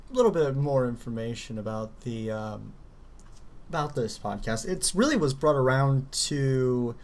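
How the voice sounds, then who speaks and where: Rambling man co-hosting a podcast. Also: medium pitch. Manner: rambling, relaxed, says "you know" often. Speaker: co-host. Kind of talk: casual live podcast chat.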